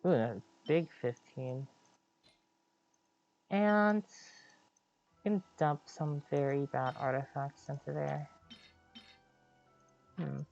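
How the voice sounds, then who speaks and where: A woman's voice: deep voice, takes it slowly, creaky, pauses often, a little mellow, muffled recording. A woman streaming a game with casual live commentary, playfully muttering to herself with frequent interjections. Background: game music.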